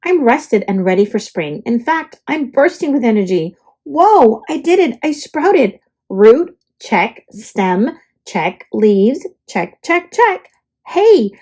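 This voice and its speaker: A woman's voice: high voice, darkish tone, breathy edge, exaggerated voice. A woman voicing a perky, dramatic young oak tree telling its storybook diary, with theatrical exclamations. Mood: excited, curious. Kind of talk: storybook diary read-aloud.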